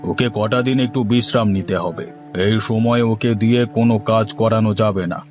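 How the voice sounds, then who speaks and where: A man's voice: fairly low pitch, fairly even intonation, brisk, a little mellow, natural voice. A man voicing soothing, persuasive village men who explain and advise, in a Bengali fairy-tale cartoon. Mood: advising, reassuring. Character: placating village husband and kindly advising doctor. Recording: music under the voice.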